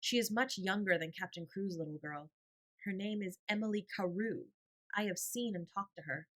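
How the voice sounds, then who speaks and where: A woman's voice: mid-range voice, moderate pace, a bit bright, natural voice. A woman voicing a cheery, kindly and thoughtful family father in a storybook reading. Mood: matter-of-fact. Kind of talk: storybook character voice.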